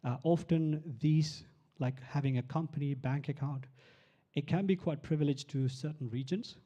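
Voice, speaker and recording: A man's voice: a little high, moderate pace, warm tone. A man from Sri Lanka giving a live conference talk about his technology, explaining step by step with many fillers and restarts. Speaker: tech founder presenting.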